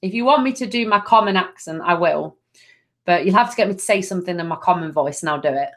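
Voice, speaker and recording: A woman's voice: on the low side, fast. Soothing chatty woman from Nottingham. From Nottingham, England. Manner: gentle, soothing, affectionate, with frequent fillers. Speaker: angel card reader. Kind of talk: live card reading, chatty.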